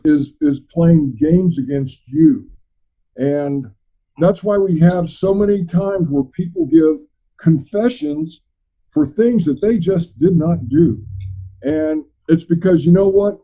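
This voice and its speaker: Male, fairly high voice, emphatic and repetitive phone talk, halting delivery, muffled recorded line. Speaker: private investigator. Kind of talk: phone conversation. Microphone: telephone. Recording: dull recorded phone call.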